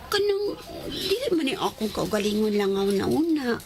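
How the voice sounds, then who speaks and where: An actress playing a chatty, hesitant young student in a radio drama, with a Cebuano Filipino accent and a coy, gossipy manner. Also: female voice, on the high side, big pitch swings, normal speed.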